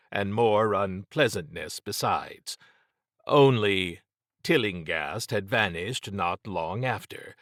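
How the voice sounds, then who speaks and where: A man reads scripted fiction as an audiobook narrator. His voice is fairly low and the telling is dramatic. The recording is dry, with very little room sound. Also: fairly bright tone, no echo.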